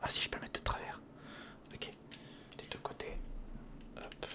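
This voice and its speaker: Whispering ASMR creator. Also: normal speed. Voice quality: breathy, mostly unvoiced whisper. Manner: self-talking, repeats phrases, informal. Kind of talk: whispered ASMR, casual build commentary. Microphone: close studio recorder microphone.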